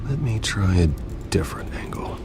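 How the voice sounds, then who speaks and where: An actor playing a brooding, terse billionaire vigilante in a video game, gravelly and dryly sarcastic. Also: male voice, fairly low pitch, lively intonation, normal speed, in a whisper. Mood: dry, guarded. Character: brooding billionaire vigilante detective, adult man, terse and dry.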